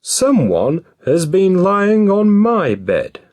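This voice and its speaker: A man voicing a gentle, middle-sized mother bear in a storybook, using a high, airy put-on voice. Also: wildly swooping pitch, takes it slowly, exaggerated voice. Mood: puzzled. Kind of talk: storybook reading.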